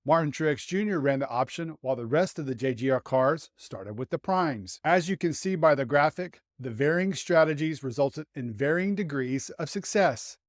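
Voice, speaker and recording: Higher-voiced man giving opinionated sports commentary. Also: animated, moderate pace, few pauses, no room sound, clean studio sound. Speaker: motorsports commentator and host.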